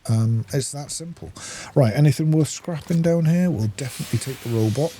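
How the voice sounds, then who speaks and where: A man giving casual playthrough commentary as a gaming host, thinking aloud in an unhurried way as he plays. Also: on the high side, warm tone, slight background noise.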